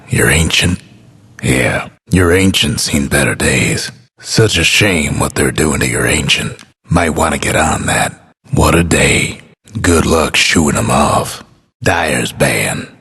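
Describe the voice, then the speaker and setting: Game announcer: folksy old-West storyteller, rock-bottom pitch, heavy husk, laconic and dryly wry. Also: male voice, fairly bright tone, exaggerated voice. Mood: dry, matter-of-fact. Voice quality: husky, gravelly. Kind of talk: scripted game announcer lines, drawling western narration.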